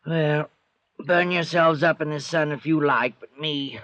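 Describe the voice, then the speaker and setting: An actor playing an anxious, suspicious young convict in an old-time radio drama, with a weary, complaining way of talking. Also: male voice, a little high, steady tone, a little slow, fairly bright tone, slight background noise. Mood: weary, plaintive.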